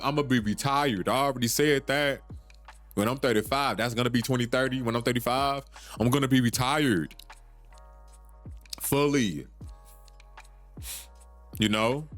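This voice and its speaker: A man's voice: mid-range voice, a bit bright. Urgent, preachy man in his thirties. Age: 30s. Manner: urgent, preachy, repeats himself, swears casually. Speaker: podcast host. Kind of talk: solo podcast monologue.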